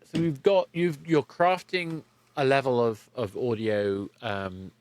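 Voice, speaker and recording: A man's voice: mid-range voice, fairly even intonation, slow. A man hosting a conversational podcast interview, thinking aloud and often rephrasing mid-sentence.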